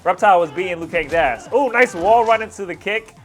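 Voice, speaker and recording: A man with a fairly high voice gives excited play-by-play reaction commentary. He talks in casual, slangy bursts like an online video host. Music plays behind his voice. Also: brisk. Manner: casual slang, hyped exclamations.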